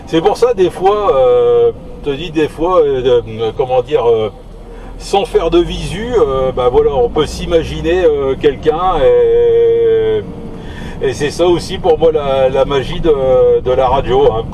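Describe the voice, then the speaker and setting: A man's voice: medium pitch, measured pace, dark tone. A man in a casual two-way radio chat, talking hesitantly, drawing out words and repeating his phrases. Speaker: CB radio operator. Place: inside a car outdoors. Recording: muffled, band-limited, noisy car, distant mic.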